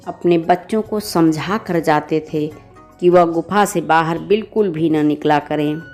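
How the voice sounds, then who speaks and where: Slow-paced woman telling a bedtime story. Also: lowish voice, darkish tone. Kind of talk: children's bedtime story narration.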